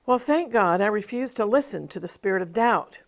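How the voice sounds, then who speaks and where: A woman's voice: mid-range voice, lively intonation, fairly quick. A middle-aged woman television minister giving a devotional teaching with a personal testimony, in an earnest, emphatic preaching cadence.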